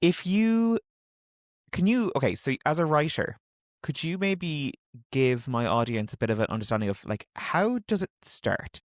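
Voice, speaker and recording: A man hosting an interview, relaxed and teasing, asking questions with easy fillers. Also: on the high side, normal speed, dry recording, clean studio sound. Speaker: interview podcast host. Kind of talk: interview conversation.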